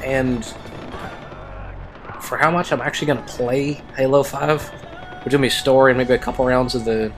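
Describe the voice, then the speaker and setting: A man's voice: mid-range voice, steady tone, moderate pace, pauses often. A young man giving casual let's play commentary, rambling conversationally with a self-deprecating streak. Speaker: gaming video host. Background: game music and vehicle sounds.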